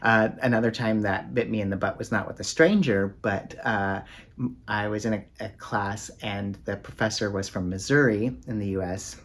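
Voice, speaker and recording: A man with a fairly low voice talks casually to the camera in a book vlog. He rambles with plenty of ums and uhs, poking fun at himself. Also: steady tone, moderate pace, fairly bright tone. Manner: rambling, self-deprecating, many fillers. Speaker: book vlogger.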